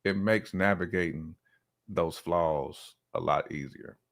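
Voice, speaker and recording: Deep-voiced man chatting casually. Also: slow pace, a bit bright. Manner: colloquial, emphatic, searching for words. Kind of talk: casual conversational chat.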